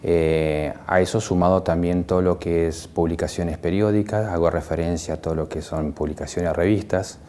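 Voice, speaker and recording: A man's voice: low voice, fairly even intonation, normal speed, hardly any pauses, mellow. A man with an Argentine accent, explaining things in an interview as a member of library staff.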